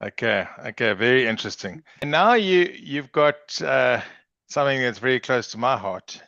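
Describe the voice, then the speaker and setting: A gravelly man hosting a podcast, effusive and prone to restarting his questions. His voice sits at a middle pitch, dry and close, on a dull lo-fi recording. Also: expressive, moderate pace, sharp tone, no echo. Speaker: podcast host. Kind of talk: casual interview.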